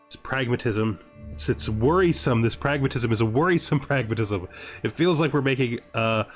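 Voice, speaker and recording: A man's voice: medium pitch, moderate pace. A man giving thinking-aloud gameplay commentary, deliberating, repeating himself and often checking with a questioning tag. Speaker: gaming host playing a strategy game. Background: music.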